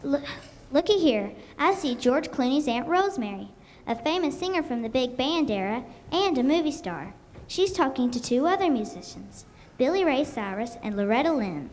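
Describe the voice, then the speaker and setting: A woman's voice: high-pitched, big pitch swings, normal speed, darkish tone. A young female performer playing a TV reporter in a scripted school radio show, announcing in a presentational way. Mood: upbeat announcing. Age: child or young, elementary school performer. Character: bubbly female TV reporter touring the Kentucky Derby. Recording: noisy amateur live stage recording.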